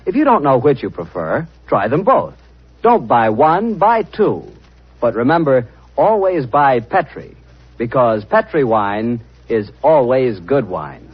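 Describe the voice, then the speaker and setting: A man's voice: mid-range voice, very animated, unhurried, breathy edge. A man with an American accent announcing in 1940s radio style, with a folksy salesman's manner. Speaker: radio announcer and sponsor pitchman. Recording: dull 1940s radio recording.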